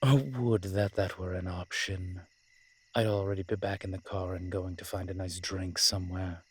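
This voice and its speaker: A man acting as a wry, flirtatious incubus demon in a roleplay audio drama, teasing and sardonic, with a husky, breathy voice. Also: sits low, flat delivery, normal speed.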